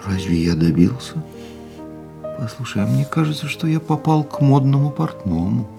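An actor playing an ardent, reflective racing driver in a radio drama, speaking in an intimate, searching, romantic manner. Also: male voice, mid-range voice, animated, normal speed, vocal fry, music in the background. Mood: tender, intimate. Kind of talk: radio play acting.

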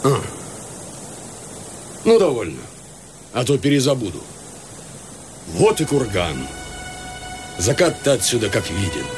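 An actor playing an easygoing, expansive country landowner in a radio play, with folksy, exclamatory speech. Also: male voice, mid-range voice, huge pitch swings, measured pace, long pauses, soft background music. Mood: musing, then enraptured. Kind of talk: Russian radio play acting.

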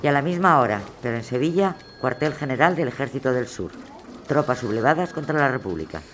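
A man's voice: medium pitch, lively intonation, brisk, close to an everyday voice. A man as a terse narrator setting scenes in a scripted historical audio drama. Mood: announcing. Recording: loud music behind.

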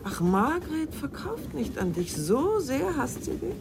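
Casting: a jealous, proud middle-aged woman in radio drama, swooping intonation, pointed delivery, clearly noisy sound. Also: high voice, very animated, unhurried, heavily acted. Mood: incredulous, needling. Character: mature woman lover, probing, jealous, sharp-tongued, proud. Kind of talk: German radio drama acting, dialogue.

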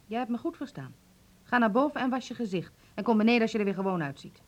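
A woman's voice: on the high side, lively intonation, fast, darkish tone. Stern, wounded mother. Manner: commanding, reproachful. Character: stern, wounded, controlling mother of a teenage son. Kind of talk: Dutch radio play acting.

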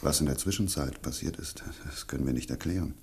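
A man acting as a calm, courteous scientific investigator in a German radio mystery drama, speaking in a measured, slightly hesitant way. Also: extremely low pitch, vocal fry, breathy edge, exaggerated voice. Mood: grave.

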